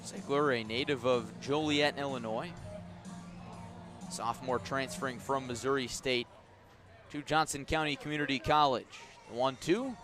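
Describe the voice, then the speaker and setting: An American man doing live radio play-by-play of a baseball game, measured between pitches and emphatic on the action. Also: a bit bright. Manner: measured description rising into emphatic calls on the action. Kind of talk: live radio sports commentary. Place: outdoors at a ballpark.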